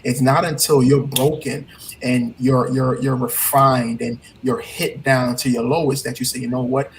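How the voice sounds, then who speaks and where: A man's voice: medium pitch, little pitch movement, normal speed, a little hiss or hum, rough recording. A man with a Trinidadian accent, a heartbreak coach speaking as a guest in an earnest, motivational way, often repeating his key points. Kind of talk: casual interview talk.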